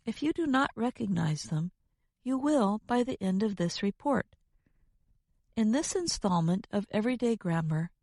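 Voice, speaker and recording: A woman's voice: on the high side, darkish tone, dry recording, clean studio sound. A woman co-hosting an educational podcast, reading a scripted lesson in a careful, instructional manner. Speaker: co-host of an English lesson podcast.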